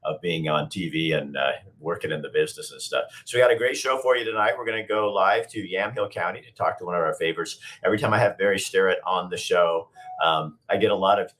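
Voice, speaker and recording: A man hosting a talk show in a casual, chatty way, his voice hoarse from a cold. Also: deep voice, fast talker, fairly bright tone. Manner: chatty, rambling, upbeat.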